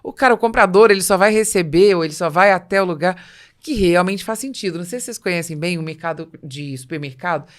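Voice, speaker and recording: A Brazilian woman hosts a business conversation in a voice pitched a little low. She explains by illustration, often posing rhetorical questions as she goes. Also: quick pace. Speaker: podcast host.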